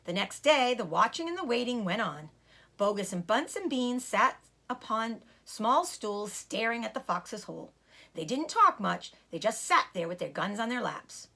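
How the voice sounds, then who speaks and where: A woman teacher reading a children's storybook aloud in an expressive way. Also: on the high side, moderate pace, gravelly.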